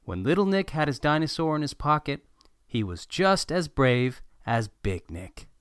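A man narrating a children's picture book aloud as a read-aloud host, in an animated, expressive storytelling manner. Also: on the high side, measured pace, smooth, dry recording, clean studio sound.

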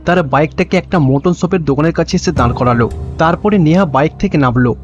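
A man's voice: a little high, fairly quick. A man reading scripted storybook narration for a cartoon. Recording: dull lo-fi sound with music. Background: background music.

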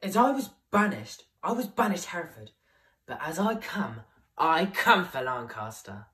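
A man's voice: mid-range voice, sharp tone, pitched below the performer's own voice. A young man playing an aggrieved, banished nobleman, declaiming classical verse as he pleads for his inheritance. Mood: pleading. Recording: voice picked up from a distance.

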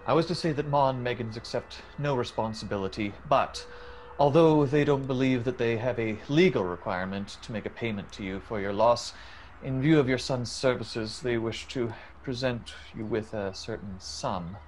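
A man's voice: medium pitch, moderate pace, slight background noise, natural voice, poor audio. A man playing an apologetic company messenger in a radio drama, formal and hesitant. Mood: uneasy, solemn. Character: apologetic, uneasy company messenger bearing bad news.

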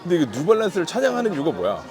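A man's voice: high voice, huge pitch swings, racing, gravelly. A man talking casually as a vlogger husband, narrating and chatting. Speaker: vlogger husband filming his wife. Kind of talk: casual vlog talk and narration. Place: voice-over and on location in an outlet shoe store. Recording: background music and crowd noise. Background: music, shoppers' chatter.

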